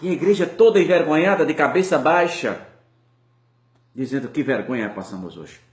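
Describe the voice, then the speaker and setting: Impassioned Brazilian preacher in an echoey church. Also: male voice, a little high, expressive, normal speed. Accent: Brazilian Portuguese. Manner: impassioned, rising and falling preaching. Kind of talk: sermon.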